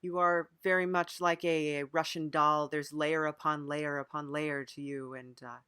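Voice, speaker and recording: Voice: medium pitch, flat delivery, moderate pace, sharp tone, clear, resonant tone, no echo, studio quality. A podcast interviewer in an improvised mock interview, asking questions in an earnest, hesitant way. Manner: hesitant, earnest questioning with frequent murmured acknowledgements.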